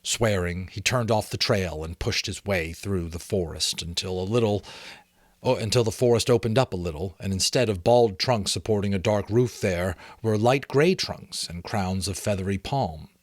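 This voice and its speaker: A middle-aged man giving a dramatic, expressive amateur audiobook reading of a novel. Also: lowish voice, moderate pace, no room sound, studio quality. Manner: expressive, theatrical storytelling. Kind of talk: dramatic audiobook-style narration.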